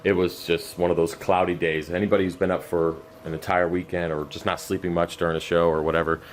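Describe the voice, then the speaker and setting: A very low-voiced man, hoarse and running out of voice, rambles on with fillers and stops as he tends his messy fish room. A faint hum runs underneath, picked up by a camera mic that follows him around. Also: little pitch movement, fast. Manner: loose, rambling, many fillers and restarts. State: losing his voice, scratchy throat; exhausted. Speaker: aquarium channel host. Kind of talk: rambling unscripted update. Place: cluttered home fish room. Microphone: camera mic while walking around.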